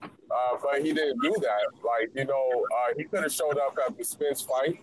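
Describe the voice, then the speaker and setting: A man calling in to a casual chat, measured, asking before he speaks and leaning on "you know". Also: medium pitch, a little hiss or hum, rough recording. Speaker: caller. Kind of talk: casual debate on a call.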